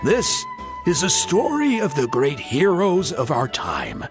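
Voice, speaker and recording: A genial male storyteller from a video game, spins a tale with theatrical flair. His delivery is slow and measured, with big swings in pitch and deliberate pauses, over faint music. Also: wildly swooping pitch, heavily acted. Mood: dramatic, reverent.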